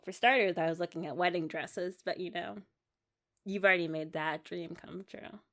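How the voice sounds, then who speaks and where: A woman's voice: fairly low pitch, lightly acted. Woman acting as a tender, sentimental young wife. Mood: excited, wistful. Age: young adult. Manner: tender, halting, giggly, speaking as if to a partner. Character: loving young wife, sentimental and eager for motherhood. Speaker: ASMR roleplay performer. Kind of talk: ASMR romantic roleplay, talking to the listener.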